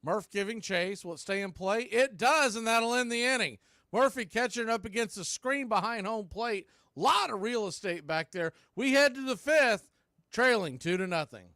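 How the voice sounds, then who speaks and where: A man calling live baseball play-by-play in an excitable, folksy radio style. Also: sits high, normal speed, dry recording, studio quality. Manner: excitable, folksy exclamations on big plays.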